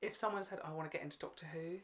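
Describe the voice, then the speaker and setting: A man's voice: a little high, steady tone, rapid-fire, a bit bright. A young man hosting a podcast, talking casually with an enthusiastic, agreeable manner. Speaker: podcast host. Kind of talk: casual fan podcast chat.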